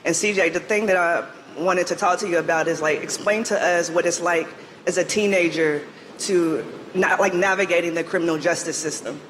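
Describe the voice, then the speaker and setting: A man's voice: on the high side, fairly even intonation, a little fast, a bit bright, slight background noise, poor audio. A man moderating a live festival panel, asking questions casually with fillers like "like.". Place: festival stage before an audience.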